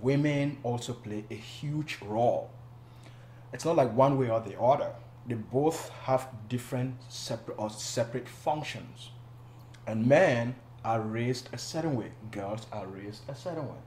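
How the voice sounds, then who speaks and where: A man from Cameroon talks as a guest on a studio panel. His voice sits at a middle pitch, and he explains his ideas in a measured way, restarting phrases now and then. The room around him is noticeably echoey. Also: darkish tone, slight background noise. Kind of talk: casual panel discussion.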